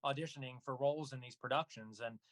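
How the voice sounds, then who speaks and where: A man speaking casually as an interview guest about his work, halting with frequent pauses and asides. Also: mid-range voice, a bit bright, no echo. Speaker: guest, voice actor and audio drama producer. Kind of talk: casual interview talk about own projects.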